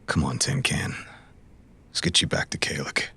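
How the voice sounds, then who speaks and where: A man playing a gruff, weary, protective character with a gravelly voice in a cyberpunk audio drama. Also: normal speed, sharp tone, natural voice. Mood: weary, restrained.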